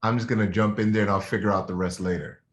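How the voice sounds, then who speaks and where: Low-voiced interviewer in an echoey room. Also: male voice, fairly even intonation, fast talker, highs cut off. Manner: enthusiastic, interrupts to ask follow-up questions. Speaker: host.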